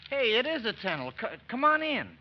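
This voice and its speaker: An actor playing an eager, curious young American soldier in excitable, folksy 1940s radio drama style. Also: male voice, very high voice, fairly quick, full character voice. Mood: eager, coaxing. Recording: muffled, noisy vintage radio broadcast recording.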